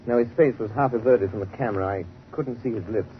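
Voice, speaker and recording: Guy doing old radio mystery hero, calm shrewd gentleman, measured and grim, muffled hissy recording. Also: fairly low pitch, close to an everyday voice. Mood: calm, certain. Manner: measured, deliberate, articulate. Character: calm, shrewd gentleman crime-fighter, grimly resolute. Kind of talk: 1930s radio drama acting.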